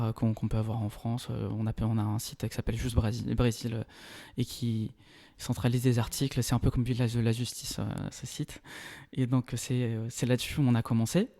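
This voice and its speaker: Guest lawyer, thirties, from Brazil, Portuguese. Mellow, measured, pauses to find words. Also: male voice, mid-range voice. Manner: measured, explanatory, searching for words. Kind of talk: casual interview talk.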